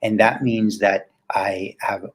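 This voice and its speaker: A man's voice: fairly low pitch, level tone, slow. A man in his fifties speaking as a coach and interview guest, casual and motivational, often posing questions and answering them himself. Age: 50s.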